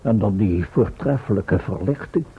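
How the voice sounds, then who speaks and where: An actor playing a timorous, pompous professor in a radio play, husky and hesitant, stammering when alarmed. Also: male voice, fairly low pitch, expressive, moderate pace, exaggerated voice. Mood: self-important. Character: fussy, timorous male professor, proud of his knowledge. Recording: muffled, band-limited old radio play recording.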